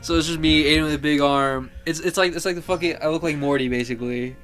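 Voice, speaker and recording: A young man co-hosting a comedy podcast, gushing excitedly and swearing as he talks. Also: fairly high pitch, quick pace, faint music behind. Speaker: podcast co-host. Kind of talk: casual comedic chat.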